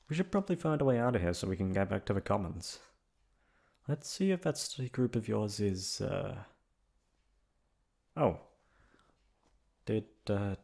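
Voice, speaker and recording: A lovestruck young delinquent, acted by a man, speaks tenderly to his best friend in an intimate ASMR roleplay. His voice is fairly low with plenty of gravelly fry, and his delivery is halting and hesitant. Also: a little mellow, full character voice.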